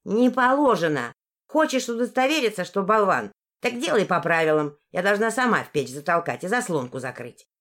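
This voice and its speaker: A woman's voice: medium pitch, very animated, normal speed, fairly bright tone. A woman voicing a grumpy, folksy old village crone in a fairy-tale audiobook, grumbling and snapping in rustic dialect. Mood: bossy, mocking.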